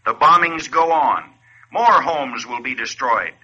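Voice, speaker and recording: Casting: grave male radio narrator, very sharp and forceful, declamatory wartime style, muffled vintage sound. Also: mid-range voice, expressive, unhurried, breathy edge, full character voice. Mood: grave, urgent. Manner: solemn, declamatory. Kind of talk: scripted 1940s radio narration. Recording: dull lo-fi 1940s radio recording.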